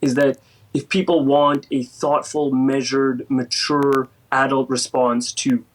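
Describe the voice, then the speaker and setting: Canadian male panelist, mid-pitched, measured and deliberate. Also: little pitch movement, rough recording. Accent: Canadian English. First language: not French. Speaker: political commentator.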